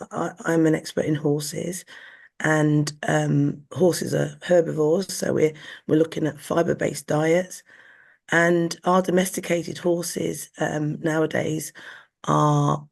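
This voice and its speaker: A woman with a hoarse, gravelly voice explains her subject earnestly as an interview guest. Her tone is husky and rough at the edges. She stumbles and restarts often while she works through a point. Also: low voice, darkish tone. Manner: earnest, with frequent restarts and fillers. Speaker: guest, equine nutritionist.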